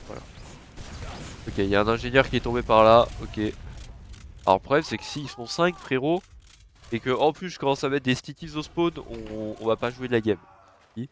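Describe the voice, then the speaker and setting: A young man with a cold and a stuffed-up nose, casually commentating his game, chatty and cursing, with sudden excited yelps. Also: medium pitch, moderate pace, pauses often, slight background noise. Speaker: gaming YouTuber.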